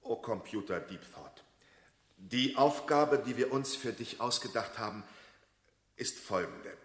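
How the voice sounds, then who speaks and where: Earnest programmer in echoey reverb. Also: male voice, mid-range voice, lively intonation, a little slow, fairly bright tone. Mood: solemn. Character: earnest male programmer addressing a supercomputer, ceremonious. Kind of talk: radio drama acting.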